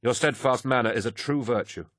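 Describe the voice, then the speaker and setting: Man playing an earnest, boyish, higher-voiced proud warrior. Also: brisk, a bit bright, no room sound, studio quality, close to an everyday voice. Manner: solemn, earnest, slightly boyish. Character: proud, earnest young male warrior, childlike tone.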